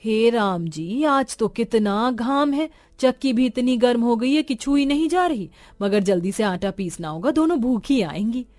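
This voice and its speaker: A woman's voice: fairly high pitch, animated, a little slow, few pauses, darkish tone, no echo. A woman voicing a poor, devout, long-suffering village mother in an earnest, plaintive and tender way. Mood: weary.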